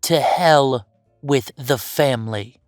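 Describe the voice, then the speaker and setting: Woman acting as estranged werewolf older brother, deep register, dry close sound, defiant, confrontational, audio roleplay. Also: slow pace, a little mellow, no room sound, soft background music. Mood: angry, defiant. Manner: curt, defensive, confrontational. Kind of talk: scripted audio roleplay drama.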